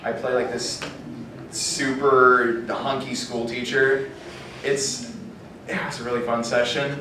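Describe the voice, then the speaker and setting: A man's voice: medium pitch, flat delivery, takes it slowly. A man speaking casually and a bit self-deprecatingly as a voice actor on a convention panel. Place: convention panel room, recorded from afar. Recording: echoey noisy room, distant microphone.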